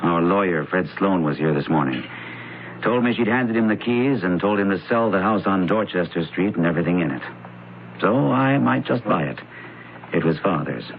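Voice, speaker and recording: An actor playing a courteous, naive, wounded son in an old-time radio drama. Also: male voice, sits low, lively intonation, normal speed, creaky. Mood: polite, subdued. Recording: tinny, noisy radio drama recording.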